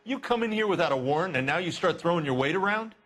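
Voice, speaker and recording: A man's voice: sits high, animated, fast, fairly bright tone. An actor playing an evasive, defensive man in the film trade, guarded and clipped, in a video game cutscene.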